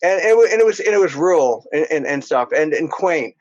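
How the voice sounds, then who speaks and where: A man's voice: on the high side, lively intonation, a little fast. A middle-aged man from Princeton, New Jersey, chatting casually as a podcast guest, rambling and chuckling as he tells stories. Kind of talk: casual interview chat. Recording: dull lo-fi audio.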